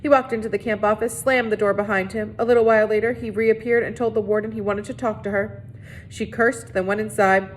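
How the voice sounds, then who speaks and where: A woman reading a children's novel aloud as narrator. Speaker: reader. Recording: noisy recording with low rumble.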